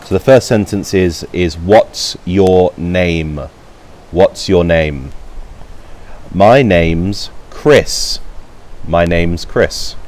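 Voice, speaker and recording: A man with a very low voice reads English practice sentences in a lesson. He speaks slowly and deliberately, saying each phrase twice, carefully first and then at an everyday pace. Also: a little mellow. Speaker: English teacher. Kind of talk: slow read-aloud language lesson.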